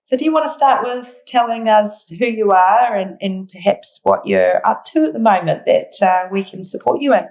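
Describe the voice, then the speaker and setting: A woman's voice: medium pitch, animated, normal speed, hardly any pauses, darkish tone, reverberant, poor audio. A woman with a New Zealand accent hosting a podcast, asking questions in a supportive, conversational interview style. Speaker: podcast host.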